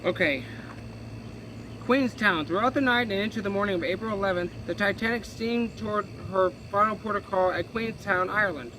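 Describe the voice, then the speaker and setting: High-voiced man reading aloud over traffic noise. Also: fairly quick, pushed and bright, low-quality recording. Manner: casual, stumbling reading with self-corrections. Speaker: reader. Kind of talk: reading a history book aloud with asides.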